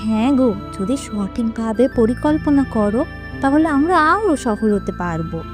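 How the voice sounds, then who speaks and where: A woman's voice: a little high, expressive, measured pace, over music. A woman playing an earnest, practical village wife in a moral-story cartoon, encouraging and hopeful. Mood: hopeful, approving.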